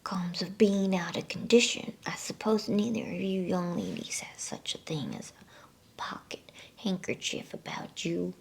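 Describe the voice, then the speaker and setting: A woman's voice: lowish voice, big pitch swings, unhurried, gravelly, darkish tone, exaggerated voice. A woman voicing a polite, good-natured, simple male giant with folksy, respectful speech in a whispered bedtime storybook. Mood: puffed, friendly.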